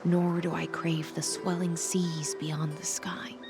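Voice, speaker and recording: A woman acting a wistful, resolute warrior from the sea in a video game, speaking in measured, solemn, lyrical lines. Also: low voice, level tone. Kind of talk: dramatic video game monologue. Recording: loud new-age music behind. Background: new-age music.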